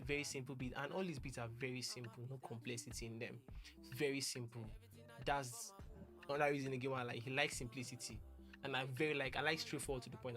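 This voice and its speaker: A man's voice: medium pitch, a bit bright. A young man, talking casually and earnestly about a musician he admires, rambling and restarting his sentences. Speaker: music producer. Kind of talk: casual talk to camera.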